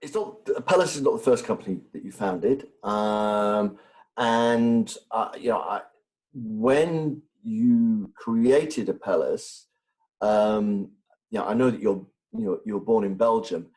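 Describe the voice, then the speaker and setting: A man's voice: lowish voice, takes it slowly, darkish tone, low-quality recording. A man interviewing a guest, asking questions hesitantly with many "you know"s and "uh"s.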